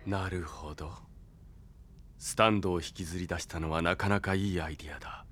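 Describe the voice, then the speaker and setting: An actor playing a smug, sinister young henchman in an audio drama, taunting with deliberate theatrical delivery. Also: low voice, fairly even intonation, fairly bright tone. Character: smug, sinister young male villain, coldly loyal henchman.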